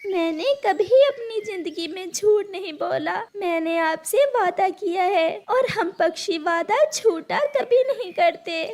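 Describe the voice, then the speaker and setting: A woman's voice: extremely high pitch, a little slow, few pauses, darkish tone. A woman voicing an honest, tender mother bird in a children's cartoon, earnest and imploring. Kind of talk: Hindi children's cartoon voice acting.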